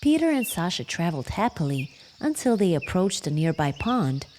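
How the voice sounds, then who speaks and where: A woman narrating a children's bedtime story, telling it warmly and addressing the listeners directly. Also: fairly low pitch, very animated, normal speed, a little hiss or hum. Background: music.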